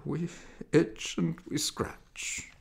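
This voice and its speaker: A man voicing a world-weary demon lover who makes slow, solemn pronouncements in a storybook reading. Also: on the high side, little pitch movement, vocal fry, voice pushed up, full character voice. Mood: weary, ailing.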